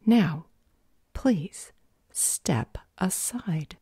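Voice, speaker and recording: Lady playing a unhelpful gov office clerk in an audio roleplay, dark relaxed voice, officious and talks down to you. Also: lowish voice, very slow, slightly rough, no room sound, studio quality, heavily acted. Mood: lecturing, condescending. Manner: bureaucratic, unhelpful, condescending. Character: jaded, officious female government customer service clerk. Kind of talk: audio roleplay drama acting.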